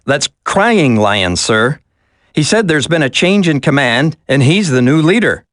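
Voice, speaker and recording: An actor playing an earnest, concerned government aide in a video game, formal and deferential. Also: male voice, fairly high pitch, big pitch swings, a little slow, a bit bright, no room sound, studio quality. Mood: concerned report.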